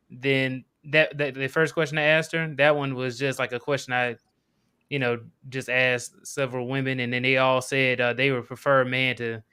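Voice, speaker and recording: A man calling into a chat show, answering questions in a relaxed, hesitant way with many fillers. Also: medium pitch, smooth. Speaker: caller. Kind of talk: casual chat.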